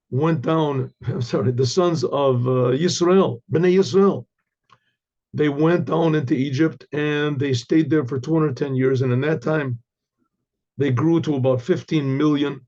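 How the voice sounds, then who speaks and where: An older hoarse man, a rabbi, giving a Torah class with halting restarts and frequent throat clearing. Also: medium pitch, darkish tone, dull audio.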